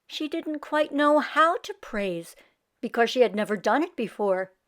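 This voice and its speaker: High-voiced, expressive woman storyteller. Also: measured pace. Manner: addresses young listeners with questions. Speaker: storyteller, host of children's read-aloud show. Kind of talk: expressive children's story narration.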